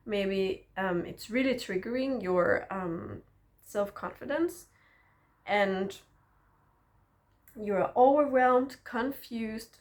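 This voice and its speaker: Slow, encouraging woman. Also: on the high side. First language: Danish. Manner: reflective and encouraging, often checks in with a questioning "yeah?". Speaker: self-development vlogger. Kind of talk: personal teaching monologue to camera.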